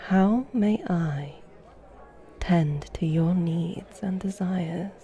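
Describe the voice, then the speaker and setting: A woman's voice: on the low side, drawn-out words, dark tone, lo-fi sound. A woman playing a seductive, mischievous vampire in an ASMR roleplay, speaking in a flirtatious, languid, formal way.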